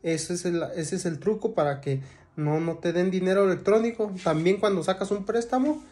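A man's voice: high voice, normal speed, a little mellow. A young man with a Mexican accent, talking casually to camera with many fillers and restarts. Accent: Mexican Spanish. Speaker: vlogger. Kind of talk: casual vlog talk to camera.

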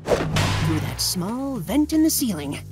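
A woman voicing an excitable, bossy young male hero leader in a cartoon. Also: slow pace, over loud music. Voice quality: high boyish voice. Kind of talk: cartoon voice acting.

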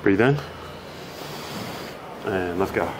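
A man's voice: sits low, slow pace. A middle-aged man working as a bodywork practitioner, chatting casually and giving short instructions to a client. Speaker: chiropractic practitioner. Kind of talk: casual chat and session instructions. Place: large indoor treatment studio. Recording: noisy room ambience.

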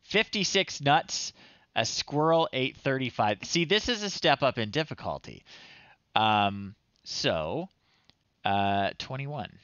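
A man's voice: mid-range voice, big pitch swings, slow, a bit bright, smooth, no room sound. A man in his thirties casually commentating a game on a live stream, reading screen text aloud with amused, playful asides. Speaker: streamer, host of a retro game show. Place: small indoor room at home. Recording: slightly dull, dry close-up recording.

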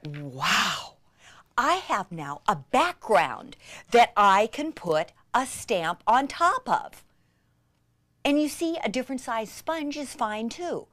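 Woman, craft teacher, excitable and gushing, very lively pitch, unhurried pace, breathy asides, close dry sound. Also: no room sound. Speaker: craft instructor. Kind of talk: enthusiastic hands-on craft demonstration.

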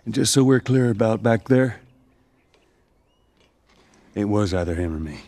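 An actor playing a gruff, guarded middle-aged survivor in a video game, with a Southern US accent and a terse, commanding manner. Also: male voice, lowish voice, lively intonation, measured pace, dark tone. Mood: steady, instructive. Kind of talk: video game cutscene acting.

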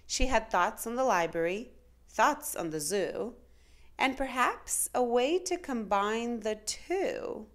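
Woman, storybook narrator, higher-pitched, animated intonation, slow pace, dramatic. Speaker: children's storytime reader. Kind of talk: expressive picture-book read-aloud.

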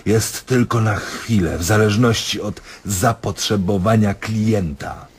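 A radio drama doctor with a devilish streak, speaking low and very hoarsely breathy. He is sardonic and domineering, toying with his patient and stretching words to needle him. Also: unhurried. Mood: wry, knowing. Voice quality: husky. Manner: sardonic, domineering, drawling for emphasis.

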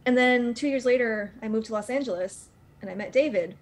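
A woman's voice: a little high, a little fast. A young adult woman, an actress, chatting in a gushing, enthusiastic way in an interview over a video call. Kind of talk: casual interview chat. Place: indoors on a group video call.

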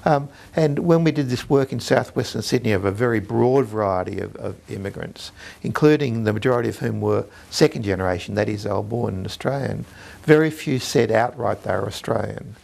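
Researcher, measured academic tone, very gravelly and husky. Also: low-pitched, darkish tone. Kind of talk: interview.

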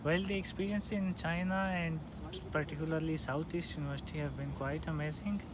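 A man's voice: a little high, steady tone, fairly quick. A young man, giving interview answers as a student. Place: outdoors on a street at night. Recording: noisy outdoor street with vehicles.

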